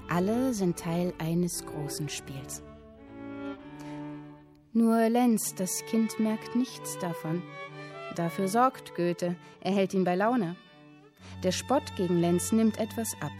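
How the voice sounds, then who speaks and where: A woman performing literary narration in a radio drama, telling a historical tale in a deliberate, dramatic way with clipped phrases. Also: mid-range voice, a little mellow, natural voice. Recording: music under the voice. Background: music with violin.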